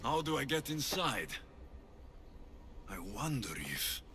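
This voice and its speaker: An actor playing a brooding mercenary hero in a game cutscene, terse and serious. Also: male voice, mid-range voice, very animated, slow pace. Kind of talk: dramatic game cutscene acting. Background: music.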